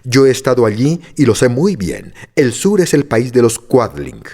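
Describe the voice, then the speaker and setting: A man voicing a small fairy-tale villager who speaks gravely and with assurance. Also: mid-range voice, wildly swooping pitch, moderate pace, dark tone.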